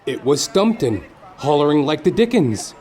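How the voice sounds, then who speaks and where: A man playing a wry, lecherous private detective, narrating a noir parody audio play in a florid, deliberate deadpan. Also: fairly high pitch, a faint crowd.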